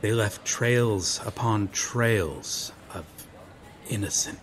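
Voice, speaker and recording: A man's voice: on the low side, slow pace, slight background noise. A man playing a noble, earnest medieval knight lost in modern times in an audio roleplay, speaking in formal, archaic, solemn phrases. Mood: solemn, resolute. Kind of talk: ASMR audio roleplay, dramatic monologue.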